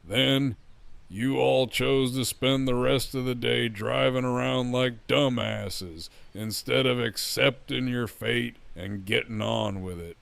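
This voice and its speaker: A man playing a swaggering, menacing gang leader in an audio drama, with a gravelly voice and a taunting, crude, smug drawl. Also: medium pitch, steady tone, slow, a bit bright, no room sound, full character voice. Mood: mocking, menacing. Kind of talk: full-cast fan audio drama acting.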